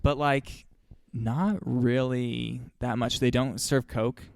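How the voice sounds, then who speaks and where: A chatty young man talking casually on his podcast, with a gravelly, creaky edge to his mid-pitched voice. He tells little stories in a playful, easygoing way. The sound is close and dry. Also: fairly even intonation, slow pace, no echo. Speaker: podcast host. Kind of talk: casual chat.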